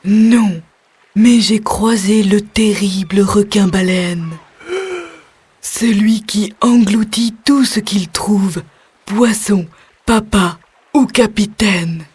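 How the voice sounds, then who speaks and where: A very husky, breathy big fish acted by a woman from a storybook. It speaks slowly, with dramatic menace, at a middle pitch. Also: a little mellow. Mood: ominous. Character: odd big fish, ominous bearer of bad news.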